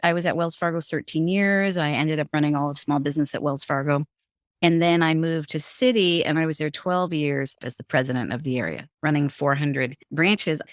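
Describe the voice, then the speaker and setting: A woman's voice: sits low, normal speed, no echo, studio quality. A middle-aged woman, a guest on an interview show, recounting her career in a conversational way with frequent restarts. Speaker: guest, former bank executive. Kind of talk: storytelling, interview answers.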